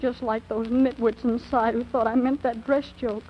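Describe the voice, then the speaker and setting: A woman's voice: fairly high pitch, level tone, normal speed, close to an everyday voice. A young woman playing a theatrical, wounded, impulsive teenage girl in a 1940s radio drama, with breathless, melodramatic exclamations. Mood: haughty, hurt. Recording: muffled, noisy old radio broadcast recording.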